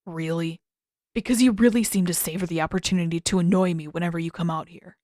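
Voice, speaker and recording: A woman's voice: lowish voice, fairly even intonation, fast talker, darkish tone, no echo, studio quality, natural voice. Woman reading a guarded, grumpy teenage prince. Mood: scornful.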